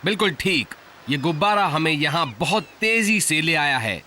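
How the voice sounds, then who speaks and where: High, sharp, excitable cartoon man. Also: normal speed, slight background noise. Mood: speculating. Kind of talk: Hindi cartoon dub.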